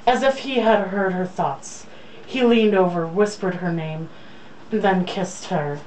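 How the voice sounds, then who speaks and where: Slow-paced young woman, off-mic. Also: fairly low pitch, a little mellow. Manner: joking, irreverent. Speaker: reader. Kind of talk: casual read-aloud of a romance novel with joking asides. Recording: distant mic, faint background noise.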